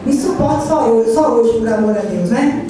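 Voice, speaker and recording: A woman's voice: on the high side, animated, normal speed, noisy background. A woman pastor from Ceará with a Cearense accent preaching a fervent sermon, leading call-and-response and drifting into sung phrases. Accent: Cearense Portuguese. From Ceará, Brazil. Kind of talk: Pentecostal sermon. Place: large church hall. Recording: echoey church hall from far off. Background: music and congregation.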